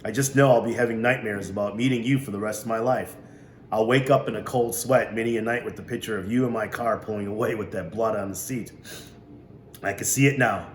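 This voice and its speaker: A man's voice: fairly low pitch, steady tone, brisk, lightly acted. A man voicing a jovial older grandfather who drives and teases in a wry, folksy way. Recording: roomy echo, faint hiss.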